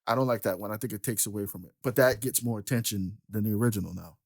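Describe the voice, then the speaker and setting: A man's voice: lowish voice, fast. A man hosting a casual interview, a keyboard player who talks in an easygoing way, laughing often and asking short questions. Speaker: podcast host and keyboard player.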